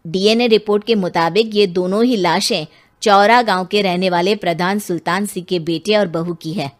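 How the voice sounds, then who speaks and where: A woman's voice: medium pitch, normal speed, lightly acted. A woman voicing a dutiful male police inspector in a cartoon, asking earnest, polite questions. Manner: earnest, polite questioning, addressing others as sir. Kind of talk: Hindi cartoon voice acting.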